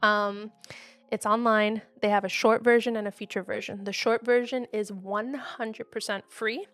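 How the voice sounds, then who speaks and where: A woman co-hosting a podcast in a chatty, quick, casual way that breaks easily into laughter. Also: medium pitch, fairly even intonation, a bit bright. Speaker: podcast co-host.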